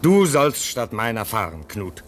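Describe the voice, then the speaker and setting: Earnest, slow-spoken man, expressive. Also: lowish voice, a little hiss or hum. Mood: gentle, resolute. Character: earnest self-denying man at a remote outpost, comforting. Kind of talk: theatrical German radio play acting.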